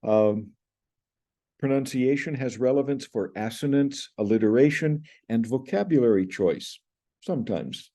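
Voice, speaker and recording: Older man lecturing, very dark voice. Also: mid-range voice, animated, a little slow. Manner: explanatory, gestures with hands, recites Greek sounds. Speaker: Greek teacher. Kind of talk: lecture on Greek pronunciation.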